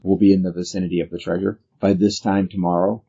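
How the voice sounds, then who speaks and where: A man's voice: low-pitched, little pitch movement, fast, gravelly, mellow, husky, dull audio, exaggerated voice. A man playing a fierce, determined fantasy treasure hunter in a tabletop game, speaking tersely and resolutely. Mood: firm, urging.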